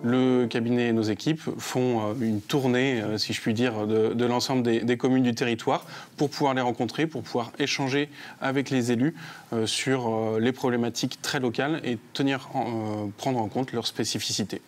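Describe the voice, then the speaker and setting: A man's voice: medium pitch, fairly even intonation, normal speed. Methodical man with a mid-range voice, explaining. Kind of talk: explanatory interview answers.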